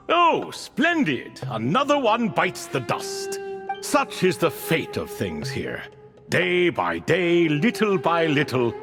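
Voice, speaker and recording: Theatrical, swooping ancient spirit king. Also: medium pitch. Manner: theatrical, grandiloquent, drawn-out phrasing. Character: ancient male ibis king spirit, courtly, whimsical, wistful. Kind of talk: video game character dialogue. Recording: long hall reverb with music. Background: music.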